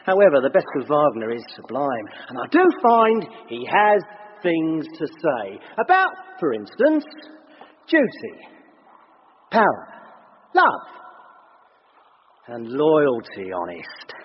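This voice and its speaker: A cultured, pompous male villain in a radio play. His fairly high voice swings expressively as he talks fastidiously, in a reverberant space. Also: huge pitch swings, exaggerated voice. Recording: dull, reverberant, faint noise.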